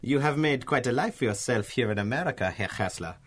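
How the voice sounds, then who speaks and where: An actor playing a gloating Nazi professor with a German accent, pompous and mock-courteous in a radio drama style. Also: male voice, medium pitch, lively intonation, brisk, breathy edge. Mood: gloating menace.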